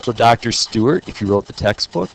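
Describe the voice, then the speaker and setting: A man's voice: on the low side, expressive, fairly quick, vocal fry, slight background noise, rough recording. A man teaching a math lesson to his class, joking and playful, talking straight to the students. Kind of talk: classroom lesson recorded on video.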